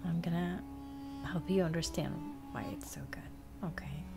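A woman's voice: fairly low pitch, steady tone, moderate pace, over music, lightly acted. A young woman playing a bossy, teasing step-sister in an intimate ASMR roleplay, often dropping toward a whisper. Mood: teasing, relaxed.

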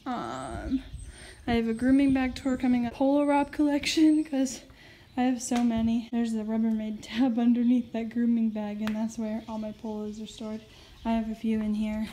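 A woman chatting casually to camera at a horse barn, giggly and quick with asides. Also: a little high, mellow, a little hiss or hum. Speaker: horse vlogger. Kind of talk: casual vlog chat to camera.

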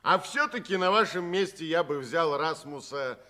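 An actor playing a good-hearted, folksy tramp who exclaims in colloquial speech, in a 1960s radio drama. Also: male voice, on the high side, lively intonation, normal speed, pushed and bright. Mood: kindly, persuasive.

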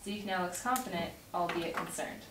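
A distant, roomy recording with clear noise carries a young woman's voice. She sits fairly low in pitch and reads script directions aloud as the narrator of a table read. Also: moderate pace. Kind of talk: reading stage directions aloud. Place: home dining room around a table. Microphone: far camera mic. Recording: distant mic, roomy echo, noisy.

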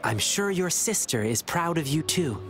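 A man's voice: a little high, big pitch swings, normal speed. An actor playing an earnest, kindly young lion-boy in a video game, reassuring and thoughtful beyond his years. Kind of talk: video game character dialogue. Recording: clear music behind the dialogue.

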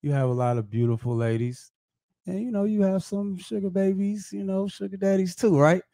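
A man's voice: sits high, brisk, creaky, no room sound, clean studio sound. Hyped slangy man hosting a podcast. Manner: hyped, slangy, loud greetings. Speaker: podcast host. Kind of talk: casual podcast chat.

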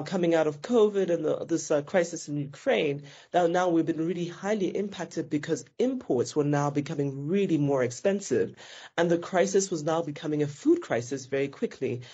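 A woman's voice: sits low, fairly quick, dark tone, husky. A woman from Zambia, a business founder answering interview questions thoughtfully and often restarting her sentences. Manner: thoughtful, self-correcting, with frequent restarts.

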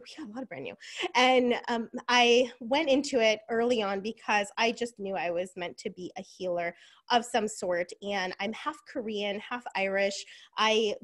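A woman in her thirties from Southern California, leading an online workshop in a chatty way that keeps breaking off to read the chat, heard over a laptop mic on a video call. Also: a little high. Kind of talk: casual live webinar teaching. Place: home room on a video call.

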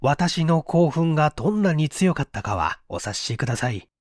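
A man narrating a mystery story as an audiobook reader, in an expressive, measured storytelling manner on a close microphone. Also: on the high side, no room sound. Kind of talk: scripted literary narration, mystery story reading.

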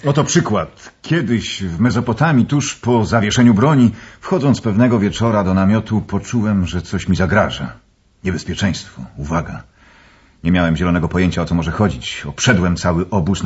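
Husky, brooding young gentleman. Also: deep voice, dull audio, heavily acted. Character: earnest, brooding young gentleman telling of danger. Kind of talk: radio drama acting.

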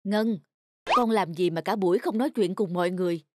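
A woman's voice: mid-range voice, a little fast, a little mellow, no room sound, clean studio sound, lightly acted. Woman acting a nagging cartoon mother. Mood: exasperated, scolding. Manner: nagging, reproachful. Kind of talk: cartoon dub.

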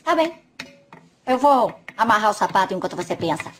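A woman's voice: mid-range voice, big pitch swings, fairly quick, noisy background, lightly acted. A woman dubbing a breezy, playful young waitress in a Brazilian Portuguese TV dub, teasing and easygoing.

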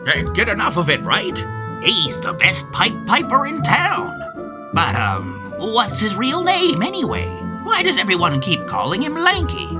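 Very animated cartoon voice actor. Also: medium pitch, a little slow, loud music behind the voice. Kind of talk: cartoon voice acting.

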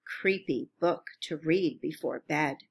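A woman reading a children's picture book aloud as a storyteller, in a careful, expressive way. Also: sits low, takes it slowly, vocal fry, husky. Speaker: storybook reader.

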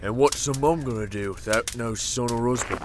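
An actor playing a sly, mercenary villain in an audio drama. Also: male voice, medium pitch, moderate pace, noisy background, natural voice. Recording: loud music behind the voice.

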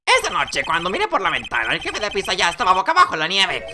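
A man voicing an excitable, jokey circus clown in a video game, with a theatrical, giggly, exclamatory manner. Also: high-pitched, fast. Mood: recounting. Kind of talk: comic video game dialogue dubbing.

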